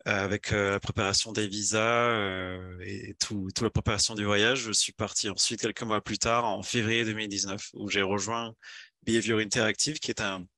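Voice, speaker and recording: Hesitant young man, bright dry voice. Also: on the low side, level tone, normal speed, no echo. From France. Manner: hesitant, many fillers and restarts. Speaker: video game developer. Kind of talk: casual talk with slides.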